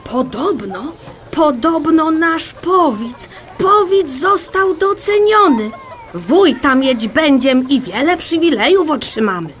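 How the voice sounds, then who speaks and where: A woman playing an excited medieval peasant gossip in an audio drama, full of news and rustic in manner. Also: sits high, takes it slowly, over music, crowd noise.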